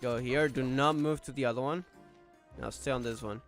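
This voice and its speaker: A man giving casual playthrough commentary as a streamer, thinking aloud in a low-key, self-deprecating way. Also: fairly even intonation, normal speed. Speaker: gaming streamer. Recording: game music behind the voice.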